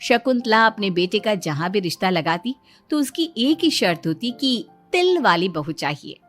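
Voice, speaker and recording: A woman's voice: medium pitch, sing-song, normal speed. A woman reading a scripted moral story as its narrator. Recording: music bed under the voice. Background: background music.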